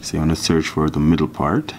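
A man from Jamaica walking through a fishing knot step by step in a relaxed, casual tutorial. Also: extremely low pitch, fairly quick, slight background noise. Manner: relaxed, step-by-step instruction with counting. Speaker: fishing channel host.

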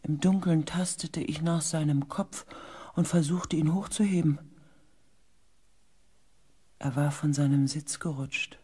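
An actor playing a shaken space expedition member in a radio drama, with a husky, breathy voice and a hushed, halting manner. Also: male voice, fairly high pitch, fairly even intonation. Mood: tense, hushed. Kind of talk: radio drama narration and acting.

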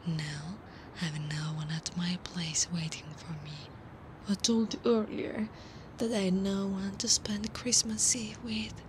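Woman voicing a slow, breathy, flirty slime monster woman. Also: medium pitch, little pitch movement, natural voice. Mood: playful, teasing. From Italy. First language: Italian. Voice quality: breathy, often half-whispered. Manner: slow, playful, teasing. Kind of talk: intimate ASMR roleplay. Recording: clear background ambience noise.

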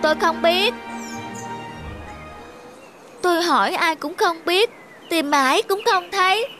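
A woman voicing a small, forlorn snail in an animated fairy tale, innocent and wondering. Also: very high-pitched, slow, exaggerated voice. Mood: puzzled, forlorn. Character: small naive homeless snail, puzzled and forlorn. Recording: music under the voice.

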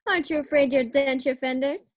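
Casting: an actress as a coy, scatterbrained young wife for a 1940s radio sitcom, high voice. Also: female voice, steady tone, a little fast, close to an everyday voice. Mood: teasing. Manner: teasing, coy.

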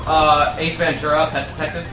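A young American man playing a video game with friends, excitable and cursing, with loud outbursts. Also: on the high side, normal speed. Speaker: gamer. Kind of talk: casual group let's-play banter. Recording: roomy room mic from afar, game music.

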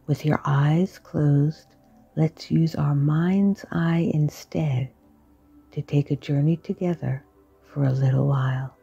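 Slow, breathy woman guiding meditation. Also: sits low, dull audio. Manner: slow, soft-spoken, partly whispered. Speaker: meditation guide. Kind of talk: guided sleep meditation.

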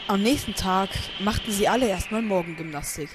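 A man's voice: sits high, fairly quick. A young man narrating an amateur parody audio drama as a storyteller.

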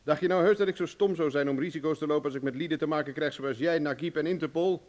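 An actor as a cocky, taunting adventurer. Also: male voice, a little high, fast talker, darkish tone, natural voice. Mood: taunting, confident. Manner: self-assured, taunting, commanding. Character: cocky, hard-bargaining male adventurer, threatening and mocking. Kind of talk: radio drama acting.

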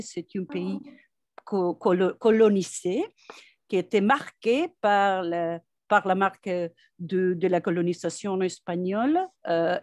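A woman's voice: a little high, no echo, studio quality. A woman, a psychoanalyst asking a question on a video call, searching for her words as she speaks French. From Argentina. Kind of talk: online panel discussion. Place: home room with bookshelves. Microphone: headset on video call.

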